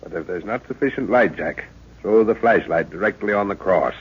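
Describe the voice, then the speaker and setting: An actor playing a thoughtful middle-aged professor who leads an expedition, speaking in a measured, hesitant way in a 1930s radio drama. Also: male voice, deep voice, animated, husky, full character voice. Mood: calm, resolute. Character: scholarly middle-aged male professor, calm leader. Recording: dull lo-fi old radio recording.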